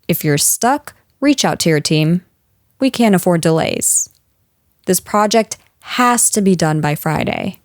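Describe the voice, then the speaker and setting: A woman playing a stern, frustrated office boss in a scripted audio roleplay, speaking to an employee in a firm, measured, exasperated way. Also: fairly low pitch, darkish tone, no echo, studio quality. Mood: stern, brisk. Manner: firm, exasperated, measured managerial delivery with sighs.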